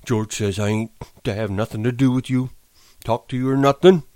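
A man voicing a big, slow-witted, childlike ranch hand who speaks in a drawling, plaintive way. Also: mid-range voice, warm tone, no echo, voice pushed up, close to an everyday voice. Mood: wary. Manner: drawling, plaintive, simple speech.

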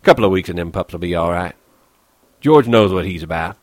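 A man voicing a bitter, lonely older ranch hand who talks gruffly in rough rural dialect. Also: deep voice, animated, a little fast, no echo. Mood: brooding.

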